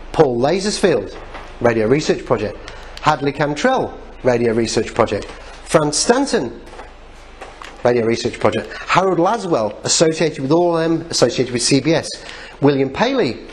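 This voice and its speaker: Man lecturing over background noise. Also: mid-range voice. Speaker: presenter giving a lecture. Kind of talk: informal public lecture. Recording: noticeable background noise, live talk recording.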